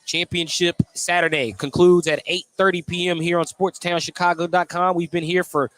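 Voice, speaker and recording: Guy doing live hoops play-by-play on radio, kinda higher voice, clipped descriptive calls. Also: little pitch movement, moderate pace, fairly bright tone. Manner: clipped, descriptive running call. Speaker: play-by-play basketball announcer. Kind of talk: live radio sports commentary.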